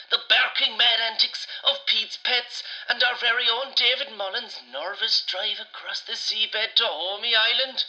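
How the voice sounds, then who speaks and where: Woman playing a piercingly bright Irish magazine seller. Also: a little high, low-quality recording. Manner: salesy, patter-like delivery. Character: brisk, chirpy Irish magazine subscription caller. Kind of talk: radio comedy voicemail sales pitch.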